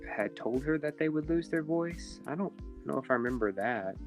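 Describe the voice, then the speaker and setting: A man's voice: a little high, expressive, moderate pace, music in the background. A man in casual chat, mostly listening and relaying questions.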